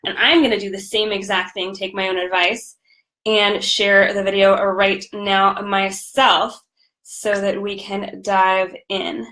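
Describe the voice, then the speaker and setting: Upbeat woman with a slightly raspy voice. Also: medium pitch, flat delivery, measured pace, sharp tone, low-quality recording. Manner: upbeat, chatty, greets viewers by name. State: recovering from a cold. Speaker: podcast host and business coach. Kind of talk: live-streamed coaching talk.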